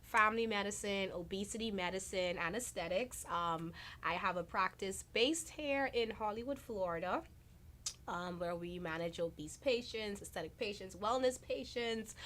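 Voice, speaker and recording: A woman's voice: mid-range voice, expressive, moderate pace, a bit bright. Earnest woman doctor from the Bahamas. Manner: earnest, emphatic repetition. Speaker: doctor, interview guest. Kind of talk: conversational interview talk.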